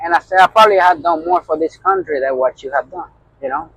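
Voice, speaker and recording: A man's voice: a little high, fast talker, a bit bright, muffled recording, a little hiss or hum. A middle-aged man with a Puerto Rican accent, a truck driver telling a heated story in a casual vlog, with constant fillers. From New York. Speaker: owner-operator truck driver.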